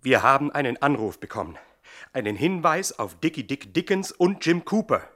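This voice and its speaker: An actor playing an excitable, eager police sergeant, loud and urgent, in a 1960s German radio comedy. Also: male voice, medium pitch, moderate pace. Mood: excited.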